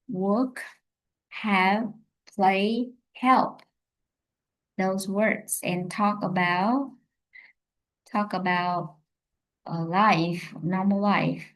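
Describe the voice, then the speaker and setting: A woman teaching an English lesson on a live stream, speaking slowly and hesitantly with many fillers. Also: medium pitch, poor audio. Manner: slow, hesitant, many fillers and self-corrections.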